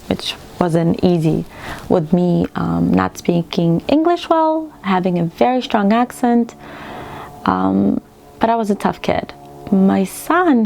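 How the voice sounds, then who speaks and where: A woman in her thirties from Ukraine with a Ukrainian accent, chatting casually and reflectively to her vlog viewers, pausing mid-sentence. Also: mid-range voice, warm tone. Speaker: needlework vlogger. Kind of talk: casual personal vlog chat. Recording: clearly audible background room noise.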